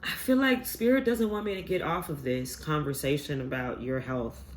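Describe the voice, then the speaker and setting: A man's voice: a little high, moderate pace, a little hiss or hum. A man giving a casual collective tarot reading, rambling and earnest with many fillers, his voice stuffy from a sinus infection. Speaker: tarot reader.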